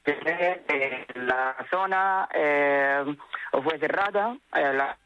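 A man's voice: fairly high pitch, clear, resonant tone, lo-fi sound. A man giving a live news report as a correspondent, hesitating very often over a remote line. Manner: halting delivery with very frequent hesitation. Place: remote link into a radio studio.

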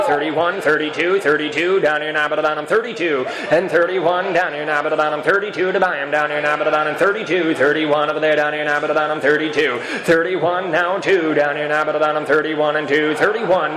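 Male auctioneer, rapid bid chant, a bit high, roomy barn, crowd behind. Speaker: livestock auctioneer. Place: fairground livestock sale. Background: crowd at the sale.

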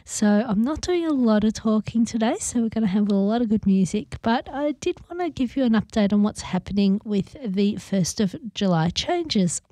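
A woman with an Australian accent hosting community radio, her voice hoarse as it comes back, explaining things chattily with many ums. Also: medium pitch, expressive, normal speed, hardly any pauses, dark tone, dry recording. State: hoarse, recovering voice. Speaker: radio host. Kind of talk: casual community radio chat.

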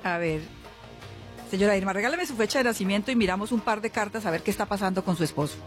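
A woman's voice: mid-range voice, fast talker. A woman hosting a radio call-in as a spiritual healer, speaking to callers in a familiar, consoling way. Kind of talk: live call-in psychic consultation and promotion. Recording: music bed behind voice.

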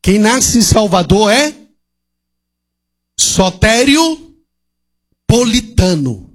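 High-voiced, slow-preaching male pastor. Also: sing-song. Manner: impassioned preaching with rhetorical questions and hallelujahs. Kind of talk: sermon. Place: church service.

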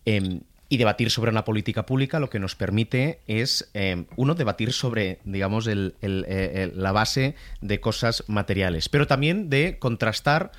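A man, speaking as a commentator on a radio panel, measured with frequent hesitant fillers. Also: fairly low pitch. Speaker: political commentator. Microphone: studio microphone.

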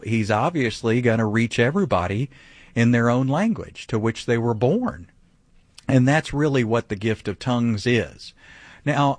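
A man's voice: medium pitch, no room sound. Emphatic male radio Bible teacher. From grew up speaking some Vietnamese. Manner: emphatic, rhetorical questions. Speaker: pastor.

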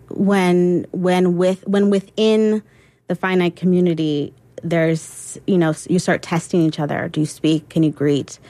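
Reflective woman guest, lower voice. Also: darkish tone, no echo. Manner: reflective, hesitant, many ums and restarts. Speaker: interview guest, poet and adult education teacher. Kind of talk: radio interview.

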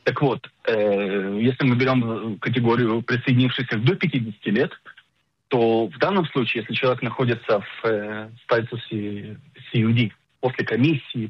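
A middle-aged man explaining things as an expert guest in a radio interview, didactic and emphatic, pausing to search for words. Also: mid-range voice, slight background noise. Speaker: insurance agent and financial planner, radio guest. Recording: dull lo-fi radio sound.

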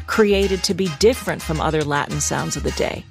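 A woman hosting a radio interview, asking questions and reading measured scripted narration. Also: low voice, vocal fry, music in the background. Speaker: radio show host.

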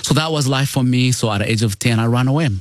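A man's voice: mid-range voice, quick pace, no echo. A man with a Ugandan accent, speaking as an interview guest and telling personal stories in a conversational way. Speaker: guest, foster father and author.

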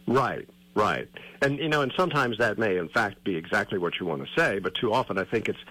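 A man with a husky, sharp-edged voice rambles thoughtfully through a casual radio chat, leaning on "you know" as he goes. He sounds like a chatty co-host working out his ideas. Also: fairly low pitch, lively intonation, fairly quick, poor audio. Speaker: co-host, newsletter writer. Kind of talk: casual conversation.

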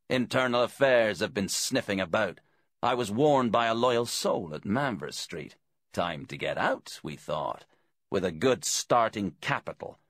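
A man's voice: on the low side, fairly bright tone, no room sound, exaggerated voice. A man voicing a smug, cynical, corrupt police inspector with a casual, taunting manner in a crime audiobook.

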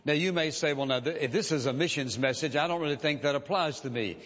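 A man preaching a sermon as a pastor, measured and emphatic, repeating key phrases for weight. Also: a little high, fairly bright tone. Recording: dull lo-fi sound with long hall reverb.

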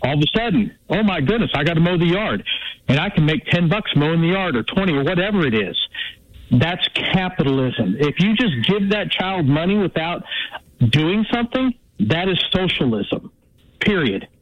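Male caller in his 60s, opinionated and halting, higher voice, coming through a muffled, dry phone line. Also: expressive. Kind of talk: call-in radio.

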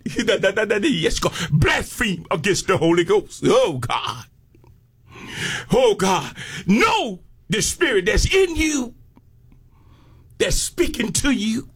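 An American man preaches with fervor in a very high-pitched voice. He takes his time over each phrase and delivers it as an impassioned sermon, punctuated with exclamations of praise. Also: a bit bright, breathy edge. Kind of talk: fervent sermon with call and response.

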